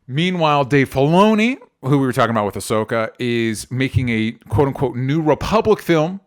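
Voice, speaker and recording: A man's voice: mid-range voice, very animated, a little fast. A man with an American accent chatting casually and informally about entertainment news as a podcast co-host, often qualifying what he says. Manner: informal, hedging explanations.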